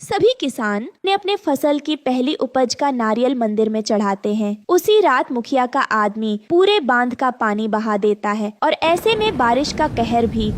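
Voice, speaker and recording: A woman's voice: on the high side, a little hiss or hum. A woman reading scripted narration for a Hindi cartoon story.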